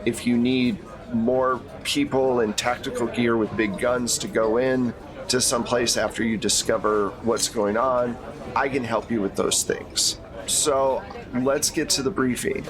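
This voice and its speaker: A man's voice: mid-range voice, steady tone, a crowd in the background, lightly acted. Man playing a courteous, businesslike middle-aged female handler. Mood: businesslike, reassuring. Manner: measured, professional briefing talk.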